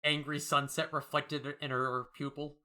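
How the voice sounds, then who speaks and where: Bright, high-pitched man in casual commentary. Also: level tone, moderate pace. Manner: playful, riffing. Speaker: co-host. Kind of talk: casual comic commentary chat.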